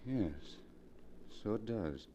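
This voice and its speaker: A man's voice: lowish voice, expressive, slow, mellow. A man acting a distracted, haunted husband in a 1940s radio melodrama, with a theatrical, dreamy manner. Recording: noisy vintage 1940s radio recording.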